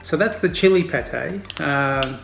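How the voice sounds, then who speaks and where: A man's voice: fairly high pitch, unhurried, very noisy. A man chatting casually and informally to his viewers as a vlogger, with the odd mild swear. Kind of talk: casual vlog chat to viewers.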